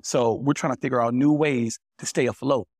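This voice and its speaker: A man's voice: mid-range voice, fairly even intonation, normal speed, darkish tone, dry recording, studio quality. A man who owns barbershops, speaking plainly on a panel discussion and telling stories from his shop. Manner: plainspoken, anecdotal. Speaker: barber and small business owner.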